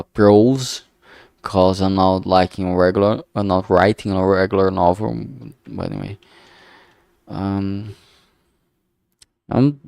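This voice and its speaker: A man with a thick Brazilian Portuguese accent talks slowly and unhurriedly, his voice sitting fairly low. He rambles as he thinks aloud, full of fillers and restarts, recorded close and dry with no room sound. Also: a little mellow, smooth, dry recording. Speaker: game developer streamer. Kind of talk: casual think-aloud stream commentary.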